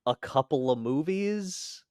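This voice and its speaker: Animated man in casual banter. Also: fairly high pitch, slow, clean tone, no echo, studio quality. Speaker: podcast co-host. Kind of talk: casual pop culture chat.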